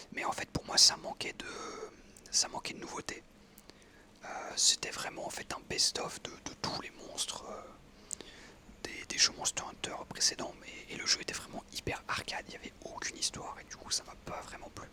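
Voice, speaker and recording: A young man in his twenties whispers the whole way through, his breathy voice very bright and crisp on the consonants. He tells a story outdoors on an evening walk, pausing often to hunt for words. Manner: whispering throughout, hesitant with many fillers. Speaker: ASMR creator.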